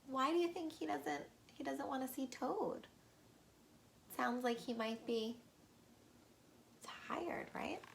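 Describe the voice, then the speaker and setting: A woman reading a storybook aloud to young children, expressive and pausing to ask the listeners questions. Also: a little high, creaky, slight background noise.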